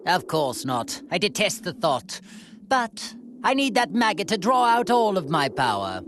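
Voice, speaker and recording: A woman's voice: fairly low pitch, sing-song, a little slow, a little hiss or hum, full character voice. A woman voicing an imperious, condescending alien tyrant in a video game, haughty and coldly polite. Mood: cold disdain. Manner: haughty, mocking, formal phrasing. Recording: loud music behind. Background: loud game music.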